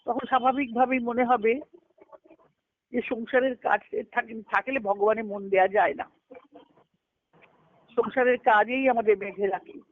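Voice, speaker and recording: A woman's voice: fairly high pitch, steady tone, unhurried, pauses often, no room sound. Woman giving spiritual discourse over tinny phone line. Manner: didactic, repeats key phrases, poses questions and answers them. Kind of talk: devotional lecture explaining scripture. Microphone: conference call phone line.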